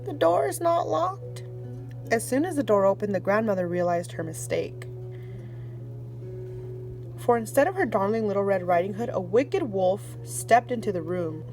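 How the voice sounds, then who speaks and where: Woman storyteller, children's read-aloud, lively rising and falling voice, faint music behind. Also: medium pitch, normal speed, poor audio. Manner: expressive read-aloud, warm sign-off to kids.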